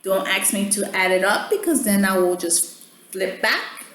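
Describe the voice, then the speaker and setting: A woman's voice: mid-range voice, animated, a little slow, fairly bright tone. A woman whose first language is Spanish, talking casually to viewers about products she bought and often checking in with "okay?". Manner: chatty, keeps checking in with "okay?". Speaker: beauty vlogger. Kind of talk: casual product haul chat. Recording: echoey room, faint background hum.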